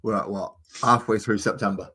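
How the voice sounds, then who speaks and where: A man's voice: on the low side, steady tone, a little fast, pushed and bright, husky. A man hosting a livestream, chatting in a jokey, rambling way with his co-host and viewers. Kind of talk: casual chat while painting.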